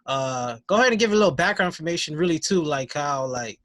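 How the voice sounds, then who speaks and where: A man's voice: on the high side, animated, quick pace, a bit bright, slight background noise. A man hosting a podcast, asking questions in an easygoing, loose way. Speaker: podcast host. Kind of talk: casual interview chat.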